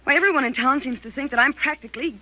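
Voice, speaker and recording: An actress playing an anxious, frightened wife in a 1940s radio thriller, polite, hesitant and pleading. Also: female voice, high voice, rapid-fire, sharp tone. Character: anxious, frightened wife doubting her husband. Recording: noisy, crackly 1940s radio recording.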